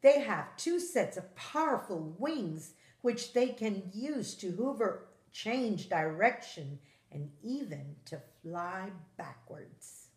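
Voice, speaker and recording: A woman reading a children's nonfiction book aloud in a measured, expressive storytelling manner, like a teacher. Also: mid-range voice, echoey room.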